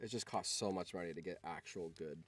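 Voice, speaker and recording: A man's voice: low-pitched, brisk, a little hiss or hum. A man chatting casually on a livestream in an informal, sweary way. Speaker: streamer.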